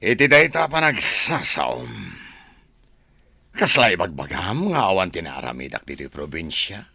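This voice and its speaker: Domineering middle-aged governor, Filipino accent, radio drama. Sharp, gravelly delivery with big swings in pitch. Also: male voice, wildly swooping pitch, a little slow, dry recording, exaggerated voice. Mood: offended, challenging. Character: domineering, offended male provincial governor.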